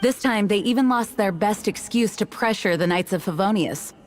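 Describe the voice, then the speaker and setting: A measured, dutiful female commander from a video game played by a woman. Her medium-pitched voice is formal and articulate, with soft music underneath. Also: expressive, lightly acted. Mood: composed. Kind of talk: video game character acting.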